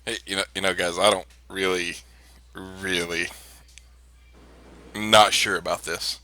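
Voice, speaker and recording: Deep-voiced, hesitant man at a game table. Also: level tone, measured pace, bright, forceful tone. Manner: hesitant, repeats his words. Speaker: player.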